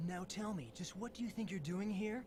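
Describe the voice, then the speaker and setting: An actor playing a smug, needling pirate rival in a video game. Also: male voice, high voice, fairly quick, a little mellow, lightly acted. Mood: taunting. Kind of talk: video game cutscene acting. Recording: noisy, distant game audio.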